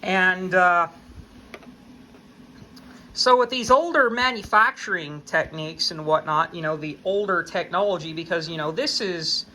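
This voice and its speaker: A man's voice: high voice, pushed and bright, slight background noise. An American man giving a casual, unscripted explanation as a hobbyist video host, in a folksy, plain-spoken way.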